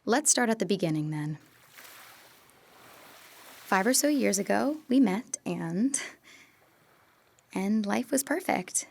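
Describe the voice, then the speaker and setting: Woman acting as conflicted young ex-girlfriend, middle-pitched voice, earnest, hesitant, audio drama monologue. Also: expressive, slow pace, creaky, long pauses, exaggerated voice. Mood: wistful, bittersweet. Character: wistful, conflicted young woman addressing her former love.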